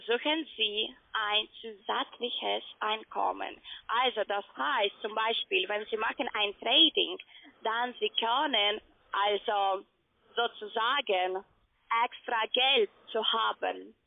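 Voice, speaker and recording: Female telesales agent, non-native and foreign-accented, broken grammar, creaky voice on a narrow, noisy phone line. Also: a little high, slow, no echo. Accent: foreign accent in German. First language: not German. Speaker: call centre sales agent. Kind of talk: phone sales pitch.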